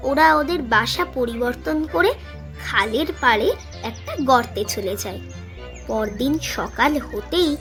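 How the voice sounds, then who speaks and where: A woman's voice: fairly high pitch, a bit bright. A woman narrating a scripted children's cartoon story.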